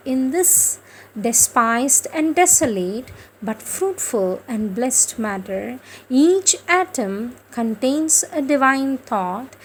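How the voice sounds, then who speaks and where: A woman reading spiritual texts and poetry in a solemn, deliberate, reverent recitation, repeating phrases for emphasis. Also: on the high side, slight background noise, poor audio. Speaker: reader of devotional writings.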